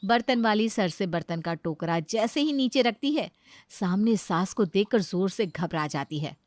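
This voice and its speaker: A woman's voice: mid-range voice, lively intonation, moderate pace, darkish tone, no echo, clean studio sound. A woman reading a scripted family story as a dramatizing narrator.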